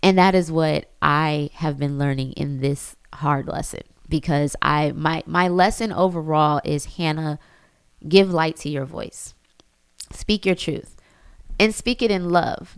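Smooth-voiced woman reflecting aloud. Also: deep voice, measured pace, no echo. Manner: thoughtful, frequent fillers and restarts. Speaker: reflective speaker. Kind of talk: personal reflective monologue.

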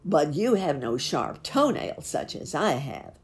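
A woman's voice: deep voice, big pitch swings, measured pace, darkish tone, breathy edge, heavily acted. A woman voicing a bad old male wolf in a children's story, growling, sneering and taunting.

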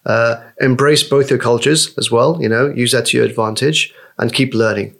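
A man's voice: mid-range voice, steady tone, moderate pace, vocal fry, a bit bright. A man in his forties, a podcast guest talking casually, rambling with lots of fillers and restarts. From Nepal. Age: 40s.

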